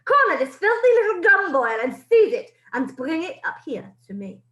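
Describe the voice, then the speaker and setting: A shrill, screeching witch queen barks orders in a high, swooping voice, put on by a woman reading a storybook. She sounds cruel and commanding, and her voice rings in an echoey room. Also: sing-song, moderate pace, fairly bright tone, dull audio, heavily acted. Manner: shrieking, commanding. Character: screeching tyrannical female witch leader.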